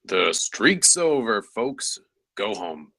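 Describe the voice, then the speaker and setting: A man voicing a shouty, dejected baseball spectator in a comedy script read. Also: lowish voice, sing-song, takes it slowly, heavily acted. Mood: glum.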